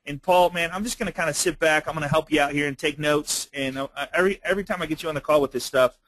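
A man's voice: fairly high pitch, fast talker, fairly bright tone, no room sound. A man hosting a webinar in a casual, effusive and enthusiastic way, chatting with his guest. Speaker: webinar host. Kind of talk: casual chat, introducing and prompting a guest.

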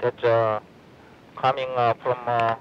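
A man who is not a native English speaker, selling over the phone in halting, broken English. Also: mid-range voice, level tone. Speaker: salesman on the phone. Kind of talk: phone call. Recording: muffled lo-fi phone line.

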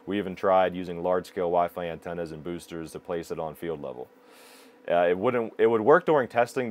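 A man's voice: sits low, a little hiss or hum. A man in his thirties giving a matter-of-fact, explanatory product review to camera. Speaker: video production company presenter. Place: small indoor broadcast control room.

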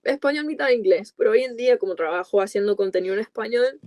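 A woman's voice: on the high side, fast talker, darkish tone, dry recording. A woman in her twenties from California, a native English speaker, chatting casually and colloquially as an interview guest. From United States, California. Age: 20s. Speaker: podcast guest, content creator. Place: small dark room with coloured lights, video call.

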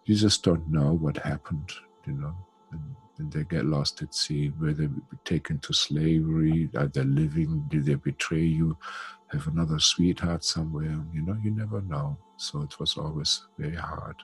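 A man's voice: very deep voice, steady tone, normal speed, breathy edge, faint music behind, poor audio. An older man guiding a spiritual session over a video call on a headset, talking loosely with many fillers. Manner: chatty, warm-toned, many "you know" fillers. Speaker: spiritual healer. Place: home room.

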